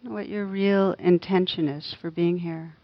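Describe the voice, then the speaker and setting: Slow, even-voiced woman guiding meditation. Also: on the low side, highs cut off. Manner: gentle, unhurried, long pauses between soft phrases. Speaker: meditation teacher. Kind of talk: guided meditation.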